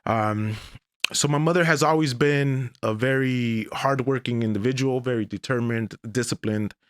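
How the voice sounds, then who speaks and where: A man in his forties, originally from Mexico, telling his life story as a guest on an interview podcast in a deliberate, measured way. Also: medium pitch, a little hiss or hum. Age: 40s. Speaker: guest, English professor.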